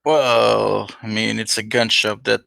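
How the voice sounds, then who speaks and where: A man's voice: lowish voice, fairly even intonation, takes it slowly, vocal fry, pushed and bright, no room sound. A man speaking casually as a guest in a podcast interview. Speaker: podcast guest, gun shop owner.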